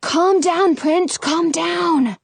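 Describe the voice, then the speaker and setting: A woman voicing an excitable, curious young boy in a storybook, blurting things out eagerly. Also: very high voice, very slow, vocal fry, no echo, voice pushed up, exaggerated voice. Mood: anxious.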